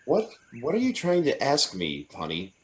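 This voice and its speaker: A man's voice: medium pitch, wildly swooping pitch, moderate pace, a little hiss or hum. A man playing an adoring, playful young fiancé in an old-time radio drama, sweet-talking and coaxing. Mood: curious, earnest.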